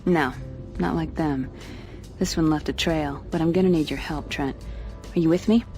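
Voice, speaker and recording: An actress playing a brisk, shrewd agent who takes charge in a video game cutscene. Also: female voice, sits low, a little mellow, faint music behind. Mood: brisk, commanding. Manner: businesslike, in control.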